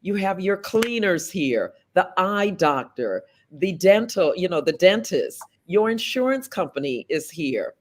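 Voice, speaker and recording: A woman in her fifties from South Florida, a political candidate answering interview questions in an earnest, deliberate way with measured pauses. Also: lowish voice, big pitch swings. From South Florida, USA.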